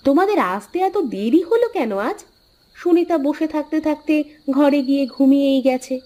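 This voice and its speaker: A woman voicing a chatty, expressive village housewife and sister-in-law in a Bengali cartoon. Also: high-pitched, normal speed. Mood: nagging.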